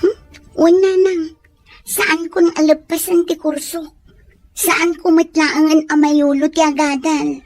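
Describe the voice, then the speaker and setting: A woman's voice: sits high, level tone, takes it slowly, a little mellow, dry recording. A woman with a Filipino Ilocano accent playing a young student daughter, reluctant but affectionate, in an audio drama. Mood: stubborn, pleading.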